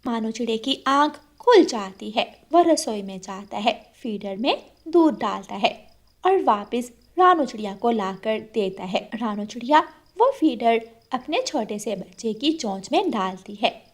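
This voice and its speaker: Fairly high-pitched woman storyteller. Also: expressive, unhurried. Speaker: narrator. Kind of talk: scripted storytelling narration for children's cartoon.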